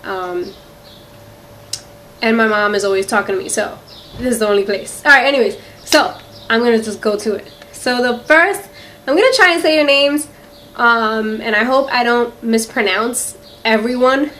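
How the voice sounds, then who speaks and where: Young woman, Philly accent, slightly high voice, rambling chatty vlogger; faint room noise behind. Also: moderate pace, fairly bright tone. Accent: Philadelphia. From Philadelphia, USA. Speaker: beauty vlogger. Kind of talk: casual Q&A vlog to camera. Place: quiet room in a house.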